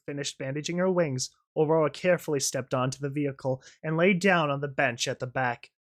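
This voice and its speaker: Mid-pitched narrator-host: expressive dramatic reading, casual emphatic asides.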